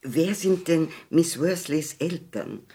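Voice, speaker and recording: An actress playing a stern, disapproving older aristocratic matron in a 1960s German radio play, haughty and pointed. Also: female voice, sits low, animated, takes it slowly, dark tone, breathy edge, exaggerated voice.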